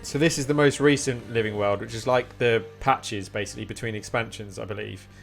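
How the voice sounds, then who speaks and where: A man's voice: lowish voice, a little fast, creaky. Man thinking aloud on a game stream over music. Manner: thinking aloud, questioning, reading menu items out. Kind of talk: casual live-stream commentary while playing. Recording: music playing behind. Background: guitar music.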